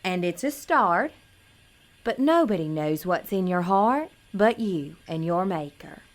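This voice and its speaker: Woman playing an innocent small-town girl, slow-paced. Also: on the low side, animated. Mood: earnest, consoling. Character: innocent, earnest small-town pageant girl, self-doubting. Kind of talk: audio drama acting.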